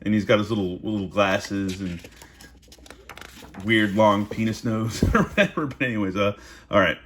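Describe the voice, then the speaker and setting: A man's voice: lowish voice, normal speed, a bit bright, slight background noise. A man reading a story aloud in a casual, amused way, breaking off into informal asides.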